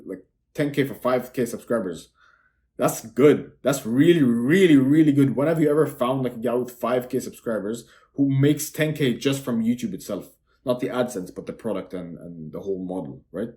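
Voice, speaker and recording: A young man from Dubai whose first language is Arabic, giving a brash, self-assured sales pitch for his online course to camera and repeating words for emphasis. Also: dark tone. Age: young adult.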